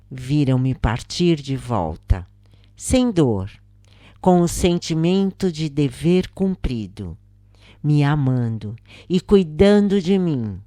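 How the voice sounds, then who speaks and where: A Brazilian woman reading a scripted spiritual account as narrator, in a solemn, measured manner. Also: low voice, dark tone, no room sound, clean studio sound.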